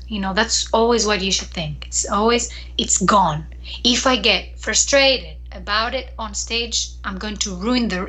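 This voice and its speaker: A woman from Greece, a professional singer, chatting in an interview and often pausing and restarting mid-sentence. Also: medium pitch, pushed and bright, rough recording. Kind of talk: casual interview chat.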